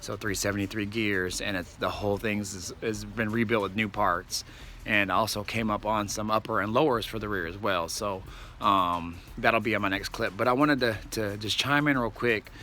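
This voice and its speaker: Car vlogger, man, slightly raspy, laid-back rambling delivery, bright forceful tone, faint background noise. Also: on the low side, fairly even intonation, normal speed. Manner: laid-back, rambling, many ums, says y'all. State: slightly raspy, losing his voice. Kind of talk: casual vlog chat to viewers.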